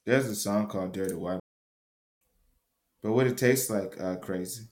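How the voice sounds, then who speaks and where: A man's voice: on the low side, normal speed, darkish tone. A man chatting casually, brash and crude, always joking around. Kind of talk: casual chat.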